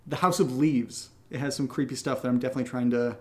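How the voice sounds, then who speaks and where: A man's voice: mid-range voice, fairly even intonation, fast talker, darkish tone. A man co-hosting a podcast, chatting casually through listener questions with jokey, teasing banter. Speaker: podcast co-host and actor. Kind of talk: casual Q&A chat. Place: indoors in a home.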